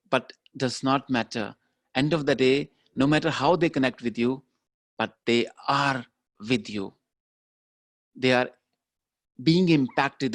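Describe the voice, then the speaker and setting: A man's voice: medium pitch, vocal fry, no room sound, studio quality. A man giving a motivational keynote speech, talking emphatically and repeating his key phrases. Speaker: keynote speaker.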